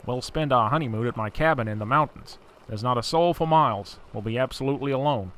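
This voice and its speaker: A man's voice: medium pitch, quick pace, fairly bright tone, lightly acted. A man playing an older, absent-minded professor in a horror audio drama, mild and matter-of-fact in manner. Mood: tender.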